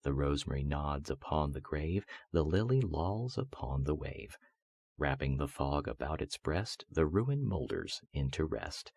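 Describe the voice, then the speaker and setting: A man with a husky voice reciting gothic poetry as a voice-over artist, in a solemn, measured, theatrical way meant to soothe listeners toward sleep. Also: expressive, darkish tone, no room sound. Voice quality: husky, very deep voice.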